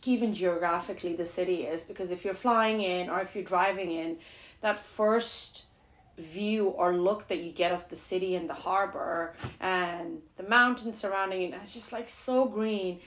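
A woman's voice: lowish voice, moderate pace, a bit bright, echoey room. Playful New woman hosting. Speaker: podcast co-host. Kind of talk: casual interview chat.

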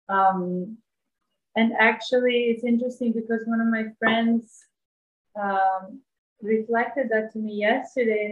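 A woman's voice: fairly high pitch, dull audio, a little hiss or hum. Echoey, thoughtful woman from Bosnia. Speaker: interview guest. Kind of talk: reflective casual interview talk. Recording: echoey room sound.

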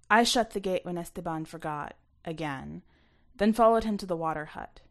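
A woman reading scripted first-person fiction aloud, expressively, with some hushed asides. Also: fairly low pitch. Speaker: story reader.

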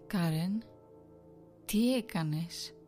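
A shaken young husband who stammers through halting questions, read by a woman storyteller in a voice close to her own. The pitch stays mid-range. Faint music runs underneath. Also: expressive, a little mellow, lightly acted.

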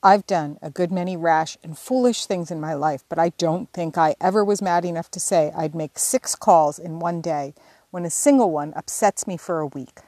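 A woman's voice: on the low side, moderate pace, mellow, natural voice. A woman narrator plays a blunt, stubborn older sister who grumbles wryly in her own plain voice. The sound is close and dry, with no echo. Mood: grumbling. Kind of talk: audiobook character reading.

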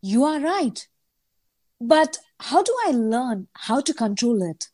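A woman performs an earnest, uncertain heroine of an audio play. Her voice is high and swings widely in pitch. She speaks slowly, with a hesitant manner. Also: expressive, darkish tone. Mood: hesitant, curious. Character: Swan, uncertain woman with a strange special vision. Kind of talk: audio drama acting.

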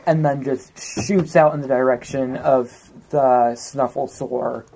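A man talks casually as a player in a tabletop game. His pace is unhurried and slow, and there is faint noise in the recording. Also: medium pitch, steady tone, warm tone, rough recording.

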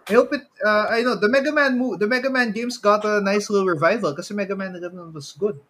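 A man with a fairly high voice and a Filipino accent talks as a podcast co-host. His chat is casual and rambling, and he often circles back to rephrase what he has just said. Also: quick pace, a bit bright. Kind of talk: casual chat about films and games.

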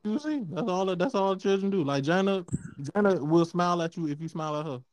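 A man in his twenties co-hosting a podcast, explaining things in a relaxed way with lots of repeated fillers. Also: high voice, a little fast, dry recording. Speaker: podcast co-host. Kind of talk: casual podcast chat.

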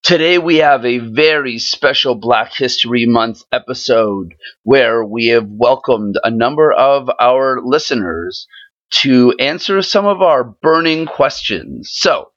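A man hosting a podcast, reading a scripted intro and questions in a measured way. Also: mid-range voice, expressive, fairly bright tone, dry recording, lo-fi sound. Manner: measured, deliberate delivery. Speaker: podcast host.

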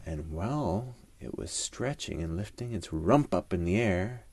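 A man telling an improvised children's bedtime story in a playful, animated way, with dramatic pauses and hushed moments. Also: low voice, breathy edge.

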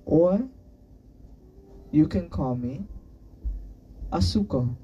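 A woman voicing a composed mother in a story, slow and deliberate, slightly distant from the microphone. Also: deep voice, expressive, warm tone, slightly rough, lo-fi sound, full character voice. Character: composed mother introducing herself informally.

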